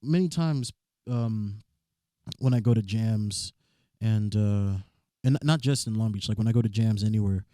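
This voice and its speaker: A young man with a raspy voice, a musician chatting as a guest, often restarting his sentences. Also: on the low side, moderate pace, dark tone, no room sound, clean studio sound. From Southern California. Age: young adult. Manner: rambling, stammers on restarts. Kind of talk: casual interview chat.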